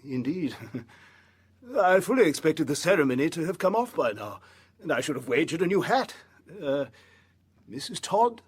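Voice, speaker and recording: An actor playing a fussy, obsequious, gossipy broker in a period audio drama, fawning and hesitant. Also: male voice, a little high, big pitch swings, normal speed, slightly rough. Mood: flustered, chatty.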